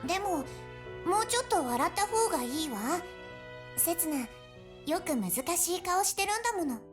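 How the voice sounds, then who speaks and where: A woman's voice: extremely high pitch, animated, quick pace, over music. An actress playing a tender but guarded, willful young woman in an anime visual novel.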